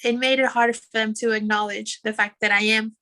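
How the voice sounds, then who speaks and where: A woman from New York City on a panel, telling a story in a lively way and acting out the dialogue. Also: fairly high pitch, a little fast. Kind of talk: casual panel chat.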